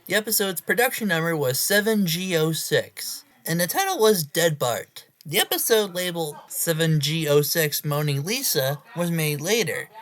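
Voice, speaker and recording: Low-voiced woman narrating with lively swings. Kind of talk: scripted horror story narration.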